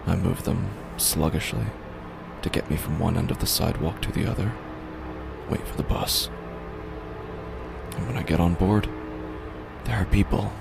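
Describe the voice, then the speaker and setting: Man acting a haunted undead man, sombre, slow halting delivery, heavy gravel, horror audio drama. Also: deep voice, heavily acted. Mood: numb, exhausted. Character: weary walking-dead man, numb, brooding, haunted narrator.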